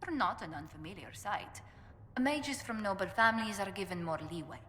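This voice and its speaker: A woman's voice: medium pitch, animated, moderate pace, fairly bright tone, a little hiss or hum, natural voice. An actress playing a courteous, poised noblewoman ambassador in a video game, speaking formally with dry humour. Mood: courteous, businesslike. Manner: formal, refined, diplomatic phrasing. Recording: echoey hall with long reverb.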